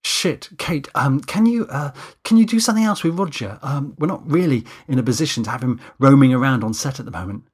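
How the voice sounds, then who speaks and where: A man's voice: medium pitch, expressive, fairly quick, hardly any pauses, darkish tone, breathy edge, voice pushed up. A man voicing a flustered, anxious man running a film shoot in an audiobook, hesitant, stammering and defensive. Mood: gruff, harried.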